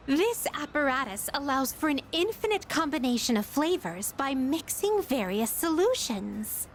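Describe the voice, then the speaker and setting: A woman's voice: sits high, a little hiss or hum. A woman playing an elegant, formal and naively curious young lady in a video game, speaking in ornate, precise words.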